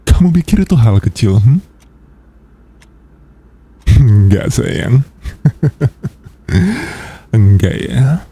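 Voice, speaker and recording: Man playing a dark, breathy possessive boss boyfriend. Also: fairly low pitch, full character voice. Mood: menacing, teasing. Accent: Indonesian. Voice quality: soft, breathy, husky. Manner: slow, teasing, controlling, with drawn-out pauses. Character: possessive, jealous yandere male boss, menacingly sweet. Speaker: ASMR roleplay performer. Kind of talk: intimate boyfriend ASMR roleplay.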